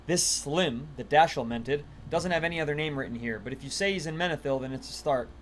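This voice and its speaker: A man voicing a serious male spymaster, reading quest orders in a measured, businesslike way. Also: on the high side, a bit bright, a little hiss or hum, voice pushed down, close to an everyday voice. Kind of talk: reading game quest text aloud in character.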